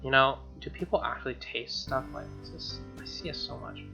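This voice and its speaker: A man's voice: medium pitch, moderate pace. A man hosting a gaming video, reading a visual novel aloud with casual, snarky and profane asides. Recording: dull lo-fi mic over music. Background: game music with guitar.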